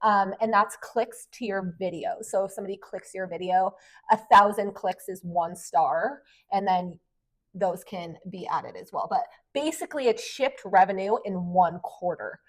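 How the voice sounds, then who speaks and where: A woman around forty hosting a podcast, polished in her intro and chatty when she explains things. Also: mid-range voice. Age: late 30s to 40. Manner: brisk, polished delivery that turns chatty and explanatory. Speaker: podcast host and Amazon influencer. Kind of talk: podcast conversation.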